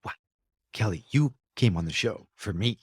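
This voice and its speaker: A man's voice: on the low side, lively intonation, moderate pace, a little mellow, husky, dry recording, clean studio sound. A man from Connecticut hosting a podcast interview, enthusiastic and effusive, reacting emphatically to his guest. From Connecticut, USA. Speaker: podcast host.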